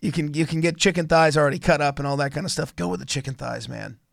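A man's voice: a little high, quick pace, vocal fry, no room sound, clean studio sound. A man with laryngitis hosting a casual motorsport show on college radio, rambling and often restarting his sentences. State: hoarse from laryngitis. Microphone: studio microphone.

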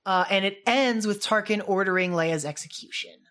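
A woman's voice: mid-range voice, normal speed, fairly bright tone. A woman co-hosting a podcast, talking casually as she reviews a show with a partner. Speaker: podcast co-host. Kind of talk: casual chat reviewing a radio drama.

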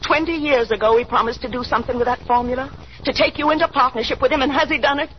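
A woman's voice: sits high, fast talker, close to an everyday voice. An actress playing a middle-aged wife and mother in a 1930s radio drama, insistent, bitter and plaintive as she nags. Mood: bitter, reproachful. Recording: dull, noisy 1930s radio recording.

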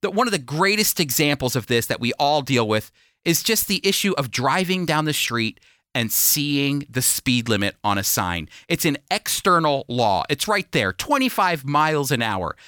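A man's voice: mid-range voice, very animated, normal speed, sharp tone, smooth, no room sound, clean studio sound. A man preaching a sermon and reading scripture, stressing and repeating key phrases and posing rhetorical questions. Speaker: preacher and Bible teacher on a radio program.

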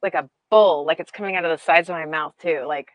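A woman's voice: low-pitched, fast talker, dry recording, highs cut off. A woman chatting casually about meditation, rambling and giggling as she tells a story.

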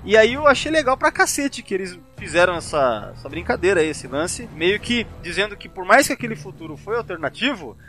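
A man's voice: high-pitched, big pitch swings, fairly quick, few pauses, pushed and bright, soft background music. A Brazilian man hosting a casual podcast, chatting enthusiastically and swearing freely. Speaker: podcast host.

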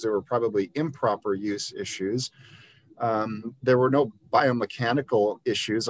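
A man's voice: on the low side, low-quality recording. A man, a podiatrist guest on an interview podcast, explaining his views in an earnest, conversational way with frequent ums and restarts. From Liberia.

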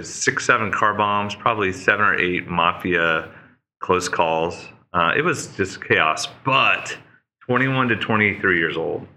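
A man in his fifties from Oklahoma, a guest on a podcast, telling his story in animated, casual chat. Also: a little hiss or hum. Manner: animated storyteller, jumps to high-pitched mock voices. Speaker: podcast guest, leadership speaker.

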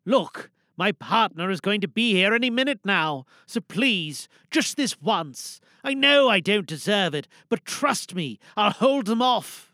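A man voicing a remorseful, world-weary pirate villain in a slow, pleading audio drama monologue. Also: sits high, big pitch swings, sharp tone, dry recording, clean studio sound, full character voice. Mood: urgent, resigned.

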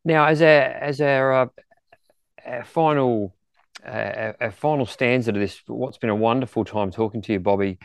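A man hosting a casual podcast interview in a courteous, slightly halting manner. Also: mid-range voice, clean tone, dry recording. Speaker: interview host.

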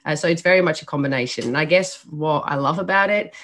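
A woman's voice: low-pitched, fast, a bit bright. A woman from the Netherlands with a Dutch accent, a mediator answering interview questions in a candid, chatty way. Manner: candid, chatty, self-deprecating. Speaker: mediator and trainer.

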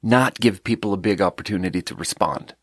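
A man's voice: sits low, a little fast, a bit bright, no room sound, clean studio sound. A man from Sweden, hosting a podcast, telling a rambling, profane story in casual chat and laughing at his own jokes. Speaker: podcast host.

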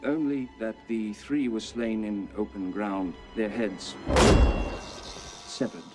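An actor playing an earnest, skeptical young male constable from the city who speaks in a formal, measured way, heard in a film clip. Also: fairly low pitch, loud music behind the voice. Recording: film clip under loud orchestral score.

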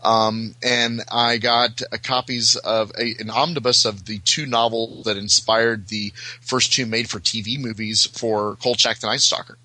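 A man chatting casually as a podcast guest, often halting and repeating words as he searches for them. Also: lowish voice, steady tone, sharp tone, low-quality recording. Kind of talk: casual chat.

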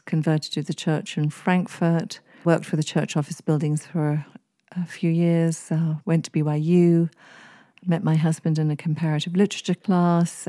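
A woman's voice: deep voice, mellow, no room sound, studio quality. A woman from East Africa with an English accent, a podcast guest speaking in a thoughtful, articulate, somewhat formal way. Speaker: guest author. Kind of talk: podcast interview.

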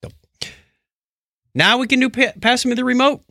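A man's voice: very high-pitched, fairly even intonation, moderate pace, creaky, bright, forceful tone, no room sound, studio quality. A man co-hosting a podcast in a casual, playful, chatty style. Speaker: podcast co-host. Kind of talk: casual chat and listener thanks.

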